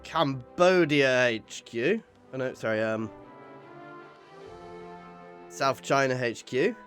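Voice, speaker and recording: A man hosts a casual strategy game playthrough over faint background music. His voice sits at an average pitch. He thinks aloud as he plays and is given to playful exclamations. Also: animated, slow pace, fairly bright tone. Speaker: gaming video host. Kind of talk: casual let's play commentary of a strategy game.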